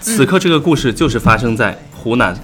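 A woman's voice: extremely low pitch, expressive, fairly quick, fairly bright tone, soft background music. A woman chatting casually and playfully, teasing her fellow audio drama performers. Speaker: audio drama cast member on a chat show. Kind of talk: casual chat.

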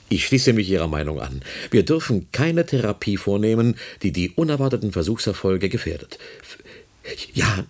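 Evasive senior doctor in a radio play: swooping intonation, husky tone, hesitant and officious. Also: male voice, on the low side, darkish tone, heavily acted. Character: evasive, calculating senior male research doctor, hesitant and condescending. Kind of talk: German radio play acting.

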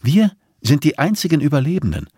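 A hard, hate-filled woman out for revenge in an audiobook thriller, acted by a male narrator. The pitch is lifted well up, and the delivery is cutting, bitter and insistent. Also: very animated, fairly quick, darkish tone, breathy edge, no room sound, studio quality. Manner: insistent, cutting, sharply emphatic.

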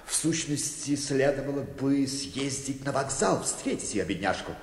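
An actor playing a vain, nervy bank director in a theatrical radio play, with a pompous manner. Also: male voice, mid-range voice, expressive, measured pace. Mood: nervy, weary. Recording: echoey hall, long reverb.

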